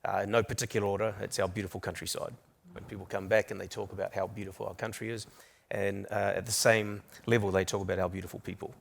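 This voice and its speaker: Gravelly-voiced New Zealand man. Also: on the low side, level tone, quick pace. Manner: candid, storytelling, with restarts. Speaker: panelist. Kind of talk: panel discussion. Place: conference stage.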